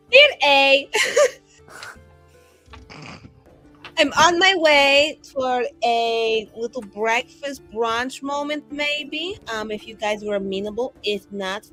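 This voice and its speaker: A woman's voice: fairly high pitch, pushed and bright, poor audio. A woman playing a tabletop roleplaying game, casually improvising words for her character.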